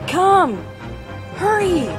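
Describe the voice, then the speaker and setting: A woman plays an anxious young village girl from an alien world in an audio drama. Her voice is extremely high, drawn out and full of swoops. Music and birdsong play behind her. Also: big pitch swings, drawn-out words, exaggerated voice. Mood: frightened, urgent. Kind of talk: science fiction audio drama acting.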